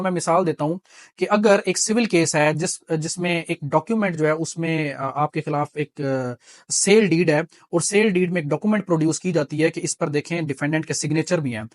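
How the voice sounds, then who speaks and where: A man's voice: high-pitched, dry recording. A man with a Pakistani accent giving an explanatory law lecture, mixing in English terms and teaching didactically through examples and repeated key points.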